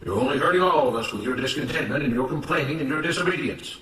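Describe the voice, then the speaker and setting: A man's voice: mid-range voice, lively intonation, quick pace, a bit bright, voice pushed up, close to an everyday voice. A man voicing an authoritarian plantation boss in a story, lecturing on order and duty with pompous self-righteousness. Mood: stern, righteous. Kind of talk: dramatized story reading. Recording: roomy, noisy, distant microphone.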